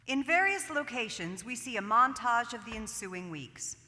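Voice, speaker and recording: A woman's voice: on the high side, lively intonation, moderate pace, sharp tone. A woman reading stage directions as scripted narration for a staged theatre reading. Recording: reverberant hall, stage recording.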